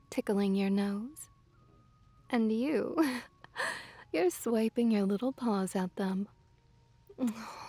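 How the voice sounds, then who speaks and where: A woman playing a doting, gently dominant kitsune witch in an ASMR roleplay, softly cooing and teasing, sometimes in a breathy whisper. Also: a little high, dry recording. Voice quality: soft, breathy whispering at times. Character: seductive, doting, possessive female kitsune fox witch. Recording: background music behind close, dry voice.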